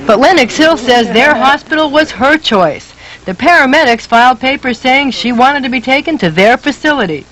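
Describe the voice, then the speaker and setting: A woman's voice: sits high, expressive, normal speed, a bit bright, poor audio. A woman reporter reading a scripted investigative news story with emphatic, dramatic delivery.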